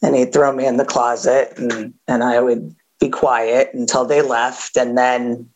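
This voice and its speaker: A woman with a gravelly voice giving a candid recovery share, halting with many fillers and a wry edge. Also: very deep voice, little pitch movement, darkish tone, dull audio. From Southern California. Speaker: AA speaker.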